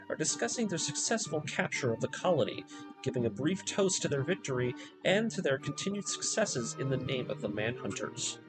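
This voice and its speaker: A male video narrator delivers a scripted story recap over clearly audible music. His mid-pitched voice moves in a lively, animated way. The delivery is dramatic and deliberate, built for storytelling. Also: poor audio. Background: background music.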